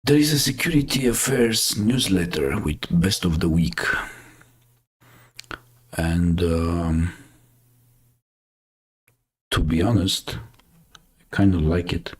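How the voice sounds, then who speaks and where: A Romanian man with a very deep, husky voice hosts a live news chat. He speaks English as a second language, thinking aloud and stopping and restarting with plenty of fillers. His tone stays casual and conversational. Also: takes it slowly, pauses often. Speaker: host.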